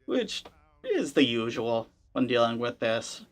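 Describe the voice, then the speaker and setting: A man's voice: mid-range voice, very animated, slow. Young male gaming host, casual to-camera commentary, skeptical and wry, mid-range voice. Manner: conversational, skeptical, wry asides. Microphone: camera-facing setup.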